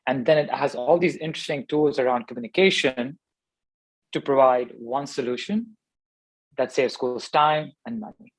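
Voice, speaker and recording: A man's voice: mid-range voice, expressive, normal speed, no echo, low-quality recording. A man in his thirties from Pakistan, a startup founder telling his story in an interview, thinking aloud with many fillers and restarts. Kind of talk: conversational interview answers.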